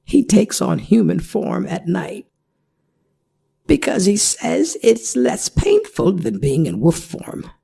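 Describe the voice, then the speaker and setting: A performer voicing a worried, gentle older werewolf mother in an ASMR audio drama, speaking in halting, pleading phrases. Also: medium pitch, big pitch swings, creaky, slightly rough, full character voice. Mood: grave warning.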